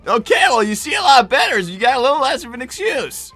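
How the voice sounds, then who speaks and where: A performer plays an earnest young boy cartoon fox with a bright, forward voice. He is an exasperated big brother, awkward and prone to flustered stammering, with music behind him. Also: high-pitched, very animated, quick pace, exaggerated voice. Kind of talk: improvised character roleplay on a livestream.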